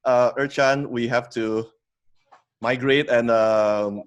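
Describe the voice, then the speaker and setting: A man in his thirties who grew up in Indonesia speaks as a podcast guest at a middle pitch. He talks in a halting way, full of fillers and restarts, and laughs easily as he tells his story. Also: steady tone, clean tone. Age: 30s. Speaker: podcast guest, company co-founder. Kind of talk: casual interview storytelling.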